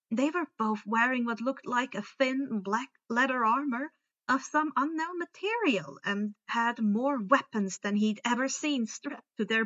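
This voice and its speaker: A woman's voice: a little high, fairly bright tone, highs cut off. A woman narrating a story aloud, now and then stumbling and rereading words. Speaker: fan fiction reader. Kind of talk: story narration with character dialogue.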